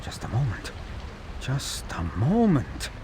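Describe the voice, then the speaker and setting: An actor playing an anxious, bookish Nazi scientist in a game cutscene, with a German accent and a pedantic, pleading manner. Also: male voice, mid-range voice, huge pitch swings, takes it slowly, darkish tone, a little hiss or hum. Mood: flustered, impatient. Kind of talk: dramatic game cutscene acting.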